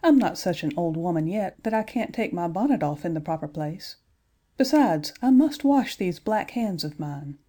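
A brisk, firm mother with a low, mellow voice that moves through a lively pitch range, speaking with crisp authority, as a woman reading a children's book puts her on. Her lines have a proper, no-nonsense briskness in this storybook telling.

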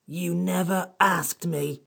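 Grumpy magical creature for a storybook, slow, high and croaking, bossy and sulky. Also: male voice, fairly even intonation, a bit bright, full character voice. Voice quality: raspy, creaky.

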